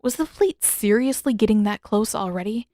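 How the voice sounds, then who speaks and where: A woman's voice: medium pitch, animated, normal speed, mellow, dry recording. Woman narrator with a dry, close sound. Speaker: audiobook narrator. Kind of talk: scripted story narration.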